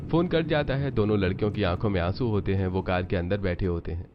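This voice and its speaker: A man's voice: low voice, fast talker. A man reading scripted narration for a story as its narrator. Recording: dull sound under loud music.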